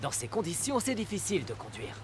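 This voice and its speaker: A man playing a gentle, cautious father in a French-dubbed children's cartoon, explaining things expressively. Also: high voice, sing-song, fast, noisy background. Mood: earnest explaining.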